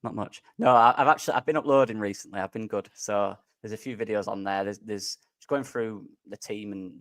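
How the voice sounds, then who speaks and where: A man's voice: lowish voice, a little fast, fairly bright tone, dry recording, studio quality. A man joining a casual football chat as a guest, earnest and emphatic, repeating his points. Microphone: remote stream call.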